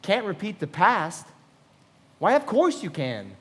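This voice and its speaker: An actor playing an earnest, hopelessly romantic self-made gentleman with polished, affected manners in a live radio play. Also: high-pitched, huge pitch swings, unhurried, exaggerated voice. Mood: wistful, insistent. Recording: reverberant hall of a live performance.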